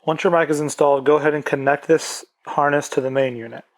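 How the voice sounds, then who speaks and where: A man's voice: on the high side, fairly even intonation, fast talker. A man presenting an instructional product tutorial, explaining plainly step by step.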